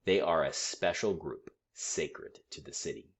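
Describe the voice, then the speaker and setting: A man reading a picture book aloud to children in an expressive, teacherly way. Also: very deep voice, a little slow, vocal fry, slightly rough. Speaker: school read-aloud storyteller.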